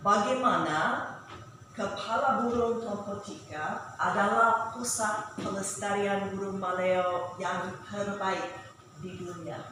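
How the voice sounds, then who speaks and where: Female presenter with a non-native accent, slow, careful and halting, formal talk, distant mic, loud background noise. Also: medium pitch. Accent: foreign, non-native Indonesian. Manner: halting, careful, searching for words. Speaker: conservation organisation director, presenter. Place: large echoey indoor meeting room. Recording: very echoey hall, distant mic, noisy.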